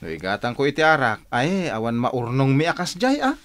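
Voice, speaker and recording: A meek working husband in an Ilocano radio comedy. He stammers and sounds dim and easily startled, his voice jumping up and down in very animated swings. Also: medium pitch, brisk. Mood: meek, resigned. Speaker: radio drama actor.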